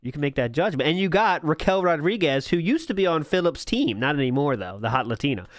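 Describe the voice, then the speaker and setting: High-voiced sarcastic man hosting a podcast. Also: sing-song, quick pace, dry recording, clean studio sound. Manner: sarcastic, mocking, laughs often. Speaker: podcast host. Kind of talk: commentary on old posts and live chat.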